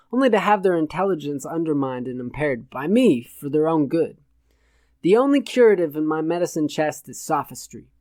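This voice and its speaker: A man narrating satirical fiction from a script in a measured, literate way. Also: a little high, a little mellow, no echo. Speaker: audiobook narrator.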